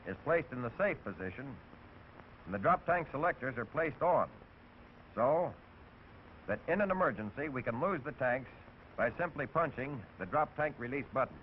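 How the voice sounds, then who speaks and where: A man narrating technical procedures step by step in a scripted 1940s training film. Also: medium pitch. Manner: methodical, step-by-step. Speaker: instructional narrator. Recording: tinny, hissy 1940s film soundtrack.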